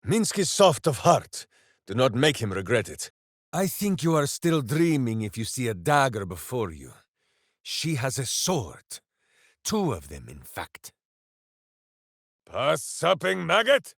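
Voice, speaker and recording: An actor playing a big, simple-hearted, heroic warrior in a video game, speaking in a grandiose, earnest, theatrical way. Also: male voice, fairly high pitch, gravelly, fairly bright tone, no room sound, clean studio sound, heavily acted. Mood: earnest, solemn, then booming, battle-ready. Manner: grandiose, earnest, speaks of himself in third person. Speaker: video game companion character.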